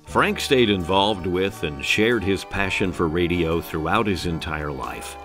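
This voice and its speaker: Deep male documentary narrator. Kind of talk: scripted narration. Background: music.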